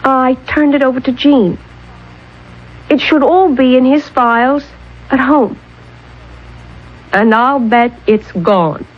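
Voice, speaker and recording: A woman's voice: high voice, slow, long pauses, a little hiss or hum. An actress playing an earnest, headstrong woman scientist in a radio drama, urgent and emphatic. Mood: insistent reasoning. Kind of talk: 1970s radio drama acting. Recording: dull old radio broadcast recording.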